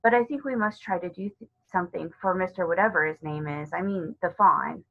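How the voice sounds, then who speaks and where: Woman as reluctant storybook big sister, hesitant, worried, husky, dull recording. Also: on the low side, brisk. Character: cautious, reluctant girl, sensible older sister.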